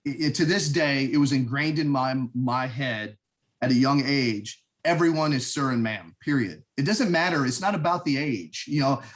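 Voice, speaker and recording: A man's voice: mid-range voice, animated, normal speed. A middle-aged American man, a military veteran, talking about his upbringing in a casual interview, earnest and rambling with many restarts. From United States. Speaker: podcast guest, veteran. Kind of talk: casual conversation.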